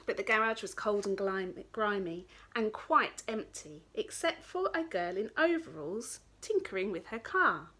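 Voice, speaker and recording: A woman storyteller giving an expressive reading of a children's story. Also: medium pitch, normal speed, hardly any pauses.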